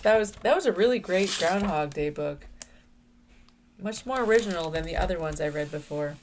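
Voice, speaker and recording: A woman's voice: fairly low pitch, animated, normal speed, a little hiss or hum. A woman hosting a children's read-aloud, narrating a storybook expressively.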